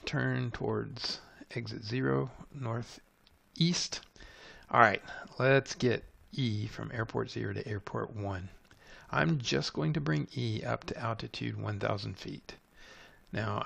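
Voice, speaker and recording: A man's voice: medium pitch, gravelly. A man giving an unscripted screencast tutorial, methodically thinking aloud as he narrates each step. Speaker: tutorial presenter.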